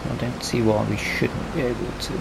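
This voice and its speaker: A man's voice: medium pitch, normal speed. A British man giving casual let's play commentary, thinking aloud and asking himself questions as he goes. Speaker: gaming video host. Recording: clear steady background noise.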